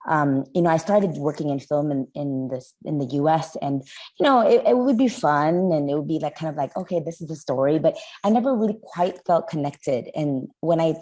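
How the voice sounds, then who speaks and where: Low, mellow woman producer, Vietnamese speaker, chatty and animated. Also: brisk. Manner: chatty, animated, full of fillers. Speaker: film producer. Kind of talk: casual interview talk.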